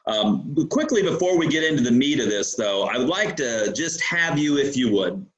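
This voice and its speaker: A man's voice: medium pitch, very animated, normal speed, dull audio. A man hosting an interview show, enthusiastic and rambling, with plenty of fillers and restarts. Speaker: podcast host.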